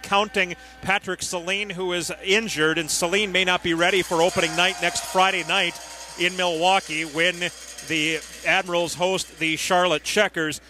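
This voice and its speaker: A man calling ice hockey live on the radio as play-by-play announcer, with a clipped, steady running description. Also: sits high.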